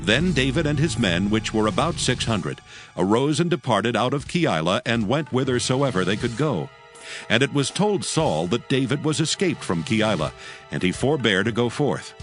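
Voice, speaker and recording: A man giving scripted narration of dramatized scripture in a solemn, measured storytelling manner. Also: medium pitch. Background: faint background music.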